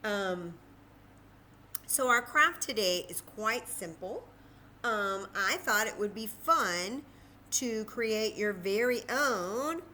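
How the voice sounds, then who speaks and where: A woman's voice: on the high side, sharp tone, a little hiss or hum. A woman hosting a children's library story time and craft lesson, explaining simply step by step in an informal, chatty way.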